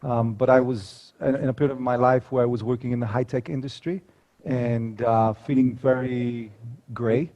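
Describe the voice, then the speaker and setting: Man, 40s, from the US, storyteller guest, reflective, medium-pitched. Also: fairly even intonation, normal speed, warm tone, highs cut off. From United States. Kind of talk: casual interview chat.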